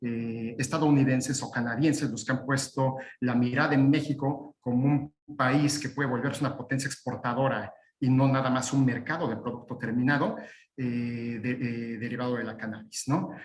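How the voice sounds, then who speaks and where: A man giving a webinar talk in a roomy-sounding space over a video call. He speaks at a mid pitch, pausing often on "eh" and restarting his phrases as he works through his points. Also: steady tone, a little hiss or hum. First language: Spanish. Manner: halting, frequent "eh" fillers and restarts. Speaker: guest lawyer. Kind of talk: webinar presentation.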